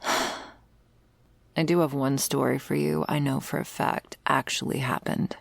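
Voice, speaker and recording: A woman playing a weary, sardonic investigator of vampire lore in an intimate ASMR roleplay, speaking drily and sometimes hushed. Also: dry recording, exaggerated voice. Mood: weary, reluctant. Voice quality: husky, creaky low voice. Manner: dry, sardonic, swearing, hushed in places.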